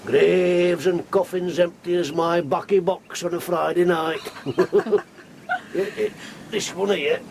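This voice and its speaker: An actor playing a garrulous, cynical sailor of nearly a hundred, with a Yorkshire accent and folksy rambling asides, in a period radio drama. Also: male voice, high voice, slow pace, vocal fry, a little mellow, a little hiss or hum, exaggerated voice. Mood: cynical glee. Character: ancient garrulous old sailor, blunt and cynical.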